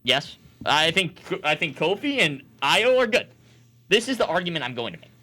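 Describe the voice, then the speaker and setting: A man's voice: fairly high pitch, very animated, normal speed, pushed and bright. A young man working as a sportscaster, delivering sports commentary and opinion in an emphatic, argumentative manner. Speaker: sportscaster and sports talk host.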